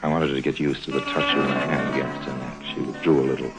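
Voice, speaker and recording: Casting: urbane, insinuating swindler-narrator, deep-pitched, wry confidential delivery, vintage radio sound. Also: male voice, level tone, quick pace. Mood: sly, confiding. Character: suave middle-aged scheming swindler, smooth charmer and narrator. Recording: vintage radio recording with faint music.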